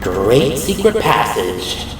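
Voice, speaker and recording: A man's voice: fairly low pitch, big pitch swings, takes it slowly, noisy background. A man voicing a wry, wary young female police officer with dry sarcasm in an audio drama.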